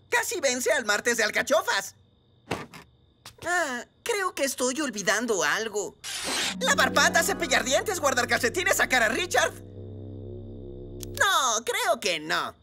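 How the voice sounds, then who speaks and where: Una mujer interpreta a una ardilla macho de dibujos animados, ansiosa, dramática y propensa al lloriqueo. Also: female voice, high-pitched, very animated, unhurried, background noise. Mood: calm, absentminded. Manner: overwrought, dramatic, given to wailing. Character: frantic, anxious male cartoon squirrel, devoted to his plant. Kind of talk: cartoon voice acting. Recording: loud music behind the voice.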